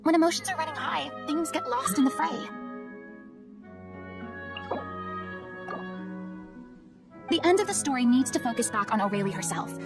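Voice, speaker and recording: High-voiced, theatrical young woman. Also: animated, fast talker, gravelly, over music. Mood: decisive. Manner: articulate, theatrical, emphatic. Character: theatrical young woman, poised, commanding and compassionate. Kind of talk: anime game voice acting.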